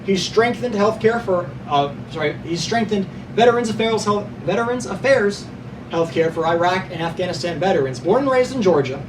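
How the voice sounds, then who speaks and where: A man's voice: high voice, expressive, moderate pace. A young male podcast host reading prepared news notes, sometimes stumbling and correcting himself, with casual asides to listeners. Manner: reads from notes, stumbles and corrects himself, stresses being unbiased. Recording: distant mic with clear background noise.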